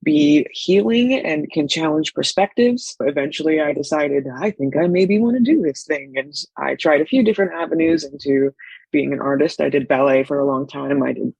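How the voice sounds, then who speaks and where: A woman's voice: low voice, normal speed, gravelly, darkish tone, slightly rough, no room sound, lo-fi sound. A woman in her twenties with a Californian accent talks as a guest in a casual interview. She tells things in an informal, storytelling way, recorded dry with no room sound. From San Francisco Bay Area, United States. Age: 20s. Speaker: guest, stage actor and arts educator.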